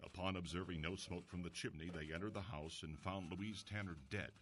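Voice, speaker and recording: A man playing an earnest, inquisitive investigator in an audio drama, telling a mystery in a measured storytelling way. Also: sits low, steady tone, a bit bright, a little hiss or hum. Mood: earnest, probing. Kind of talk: audio drama acting with first-person narration.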